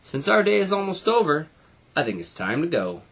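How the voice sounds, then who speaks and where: Guy doing a friendly cartoon mouse uncle for a kids storybook, pitched up, really bouncy delivery, bit husky. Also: sing-song, normal speed, fairly bright tone, exaggerated voice. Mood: upbeat.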